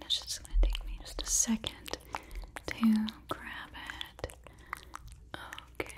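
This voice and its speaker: Softly whispering ASMR woman, high voice. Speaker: ASMR roleplay performer.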